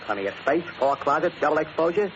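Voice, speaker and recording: An actor playing a jittery, domineering American gangster boss in an old-time radio crime drama, with curt, snappish, slangy tough talk. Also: male voice, on the high side, expressive, fast talker, close to an everyday voice. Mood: impatient, bossy. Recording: noisy, muffled old radio recording.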